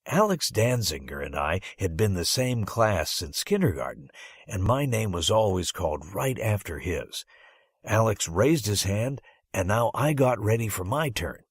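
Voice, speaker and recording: A man's voice: lowish voice, very animated, measured pace, husky, no room sound. A man reading a first-person story as an audiobook narrator, with dramatic phrasing and strong emphasis.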